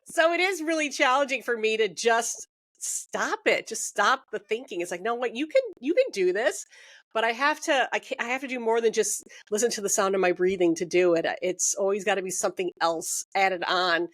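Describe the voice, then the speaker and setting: A woman's voice: medium pitch, moderate pace, fairly bright tone, no room sound. Chatty woman host, dry close sound. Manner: chatty, laughs often, rambling. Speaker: interview host. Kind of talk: casual interview conversation.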